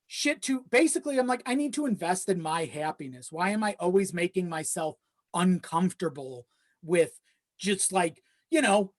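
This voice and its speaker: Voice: mid-range voice, animated, normal speed. A young adult talking casually and candidly in a rambling way, with casual swearing. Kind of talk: casual chat. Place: home office in a small self-built shed. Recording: slightly echoey unpadded shed.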